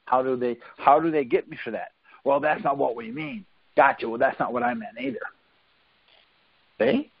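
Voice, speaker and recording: A man's voice: medium pitch, lively intonation, normal speed, gravelly, long pauses, breathy edge, no echo. A man teaching informally on a group call, speaking in a folksy, insistent way and often checking that listeners follow. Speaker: teacher leading a conference call. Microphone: phone conference line. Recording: dull, lo-fi conference call audio.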